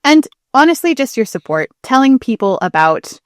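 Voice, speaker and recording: A woman co-hosting a podcast in casual, animated chat, often giggling as she talks. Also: high-pitched, moderate pace, no room sound, studio quality. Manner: giggly, chuckles often while talking. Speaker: podcast co-host.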